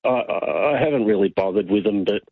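Aussie caller guy, deep voice, rambles n repeats himself, dry dull radio sound. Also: animated, fairly quick, husky, no room sound. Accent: Australian. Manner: rambling, repeats words, blunt. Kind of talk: phone-in chat.

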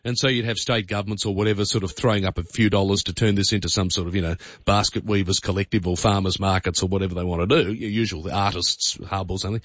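Blunt radio host, dry studio sound. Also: male voice, low voice, fairly even intonation, fast, hardly any pauses, fairly bright tone, no echo, highs cut off. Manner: blunt, chatty, sarcastic asides. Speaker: radio talk show host. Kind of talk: opinionated talk radio commentary.

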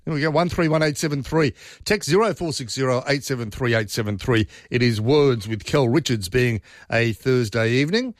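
An Australian man hosting a talk radio show, brisk and chatty as he moves between callers and texts. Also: mid-range voice, dry recording. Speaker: radio host. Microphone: studio microphone. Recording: dry studio sound.

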